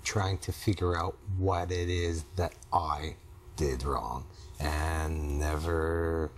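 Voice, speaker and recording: A middle-aged man speaks as a client in a counseling conversation. His voice is low and his pace very slow, coming out in short, halting fragments. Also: fairly even intonation, a little hiss or hum. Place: small TV-style studio set, indoors.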